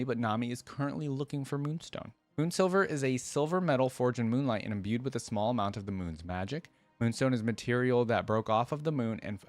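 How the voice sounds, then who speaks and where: A man's voice: medium pitch, dry recording. A man hosting a reaction video, reading wiki text aloud and thinking aloud in an informal, curious way.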